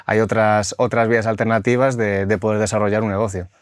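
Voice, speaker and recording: A man's voice: on the low side, little pitch movement, quick pace, no echo, clean studio sound. A man who is a dentist and podcast co-host, talking in a chatty way, agreeing often and laughing along. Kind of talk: casual podcast interview.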